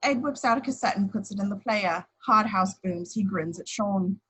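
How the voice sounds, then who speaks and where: A woman's voice: medium pitch, normal speed, a bit bright, breathy edge, poor audio. A woman reading out scripted action descriptions as narrator at an amateur table read.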